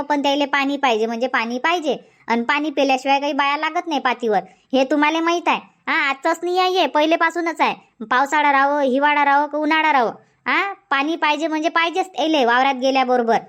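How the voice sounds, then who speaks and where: A woman's voice: sits high, a bit bright. A woman voicing a nagging middle-aged village farm wife in a comic cartoon, scolding. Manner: scolding, insistent, long rants. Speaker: cartoon voice actor.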